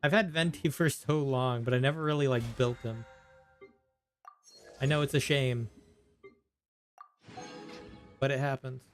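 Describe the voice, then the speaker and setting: A man's voice: medium pitch, fairly even intonation, moderate pace, faint music behind. A man casually streaming a video game, rambling half to himself and half to his viewers. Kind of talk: casual live gameplay chat.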